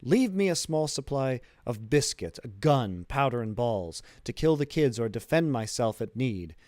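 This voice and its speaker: A man's voice: medium pitch, very animated, normal speed, darkish tone, no echo, clean studio sound, lightly acted. A man voicing a stoic, polite injured sailor in an audiobook, with formal, emphatic delivery. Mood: pained, insistent. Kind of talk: audiobook character dialogue, literary.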